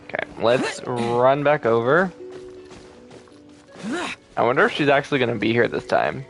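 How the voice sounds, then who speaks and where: A man streams a video game with casual, conversational commentary. His voice sits at a medium pitch, with faint game music in the background. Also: expressive, normal speed, vocal fry, fairly bright tone.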